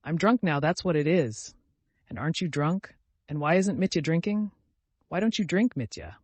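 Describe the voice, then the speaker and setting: A man's voice: a little high, animated, moderate pace, darkish tone, breathy edge, no echo, pitched above the performer's own voice. A giddy young woman, drunk on champagne and babbling in a raised, swooping voice, as a male audiobook reader puts her on. The sound is close and dry, with no room around it. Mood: tipsy pleading. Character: tipsy, passionate young woman, excitable.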